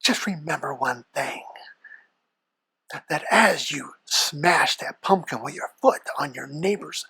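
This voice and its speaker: A performer voicing the Devil as a sly, haughty old boss, formal and deliberate, husky and whispery, bantering as a podcast guest. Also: mid-range voice, expressive, bright, forceful tone, heavily acted. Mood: sly, menacing. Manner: formal, deliberate, darkly threatening.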